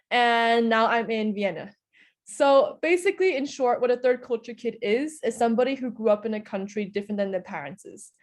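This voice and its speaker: A woman's voice: mid-range voice, darkish tone. A young woman from Hungary answering interview questions in an earnest, explanatory way, often hedging with small qualifiers. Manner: earnest, explanatory, frequent "in a sense" hedges. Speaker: interview guest.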